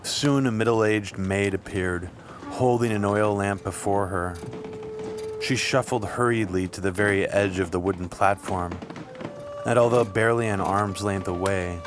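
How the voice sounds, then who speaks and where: A man reading scripted literary fiction as an audiobook narrator, with measured, deliberate phrasing. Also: on the low side, steady tone. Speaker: audiobook reader. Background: music.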